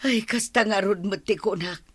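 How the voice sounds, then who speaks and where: A woman's voice: medium pitch, moderate pace, slight background noise, close to an everyday voice. A woman playing a complaining village wife with an upset stomach in an Ilocano radio comedy drama, whining and exclaiming with an Ilocano accent.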